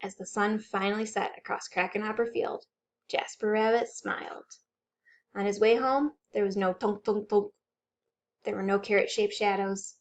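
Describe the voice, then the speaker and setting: A woman's voice: medium pitch, steady tone, moderate pace, background noise. A woman working as a children's librarian, reading aloud expressively with dramatic, suspenseful delivery. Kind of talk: animated children's story read-aloud.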